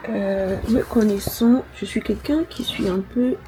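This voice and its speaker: A woman's voice: medium pitch, fairly even intonation, measured pace. Young female vlogger, chatty, talks to herself as she goes, noisy phone recording. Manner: chatty, thinking aloud with fillers. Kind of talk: casual vlog chat to camera.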